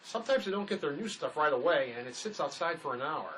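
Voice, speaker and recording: A man plays a resourceful convict on the run in a drama, easygoing and folksy. His voice is on the higher side with a very mobile pitch. Light noise sits behind it. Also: animated, quick pace, a bit bright, poor audio. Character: laid-back, resourceful escaped convict, local fisherman type. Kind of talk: dramatic script performance.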